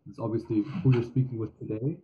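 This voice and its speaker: A man's voice: mid-range voice, fairly even intonation, fast talker. A young man interviewing a guest over a video call, polite and dotted with fillers. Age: young adult. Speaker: student interviewer. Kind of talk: interview questions. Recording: dull video-call audio.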